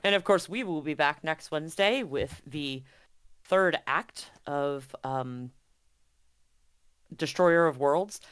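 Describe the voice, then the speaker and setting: A woman's voice: low-pitched, slow, long pauses, smooth, dry recording, studio quality. A woman running a tabletop roleplaying stream as game master, chatting casually and praising her players in an encouraging way.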